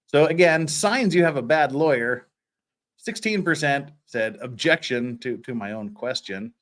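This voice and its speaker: A man hosts a casual livestream with a highly animated, swinging delivery. He chats off the cuff and teases his audience in a playful, joking way. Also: measured pace. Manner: jokey teasing, playfully scolding viewers. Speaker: livestream host. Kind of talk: casual livestream chat, thanking viewers and reading comments.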